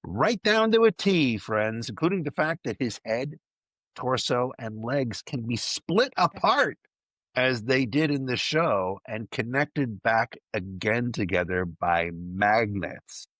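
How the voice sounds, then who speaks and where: A man with a mid-pitched voice that moves around with great animation as he hosts a podcast news segment. He is playful and enthusiastic, dropping wry asides into a partly scripted delivery. The sound is dry and close. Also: lively intonation, slow, fairly bright tone, no echo. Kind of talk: lively fan news presentation, partly scripted.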